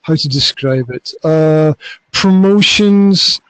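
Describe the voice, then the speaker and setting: A man's voice: a little high, slow pace, slight background noise, rough recording. A middle-aged man chatting casually as a podcast host, rambling with restarts and acted-out quotes, talking into a phone on his balcony. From Scotland. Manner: relaxed, rambling, with frequent restarts and quoted asides. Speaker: podcast host and business coach. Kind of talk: casual conversation over an app. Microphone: mobile phone.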